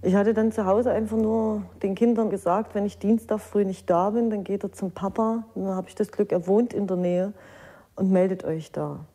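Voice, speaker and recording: A woman's voice: medium pitch, steady tone, moderate pace, dark tone. A middle-aged woman shares a personal recollection in an earnest, unpolished way. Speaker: eyewitness interviewee.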